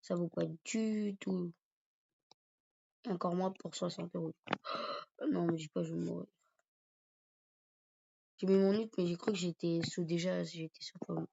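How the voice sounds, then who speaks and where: A man's voice: sits high, steady tone, a little slow, creaky, long pauses, a little mellow. A man with a cold and a sore throat, rambling hesitantly through casual commentary over his gameplay. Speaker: gaming YouTuber. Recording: dull lo-fi recording.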